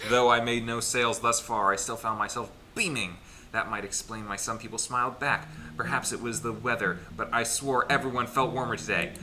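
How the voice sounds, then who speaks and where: A man's voice: mid-range voice, fairly quick, a crowd in the background, low-quality recording. A man in a casual let's play, reading visual novel text aloud and joking as he goes. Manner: joking, animated, breaks into laughter. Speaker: gaming channel player reading game aloud. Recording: music behind the voice. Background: game music.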